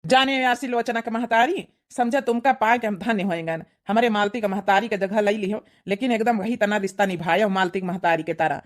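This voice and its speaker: A woman's voice: mid-range voice, fairly even intonation, brisk, hardly any pauses, lightly acted. A woman with an Awadhi accent voicing a trusting, affectionate middle-aged village father in a comic cartoon. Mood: grateful, trusting. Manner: affectionate, earnest.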